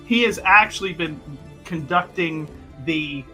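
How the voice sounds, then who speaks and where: A man's voice: on the high side, unhurried, sharp tone, faint music behind. A man playing a tabletop game, casually recapping what his character has been up to, often stopping to search for words and restarting.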